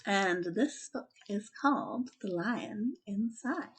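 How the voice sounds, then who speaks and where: A woman hosting a children's story time, speaking and reading a picture book aloud in an expressive, deliberate storytelling style. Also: medium pitch, slight background noise.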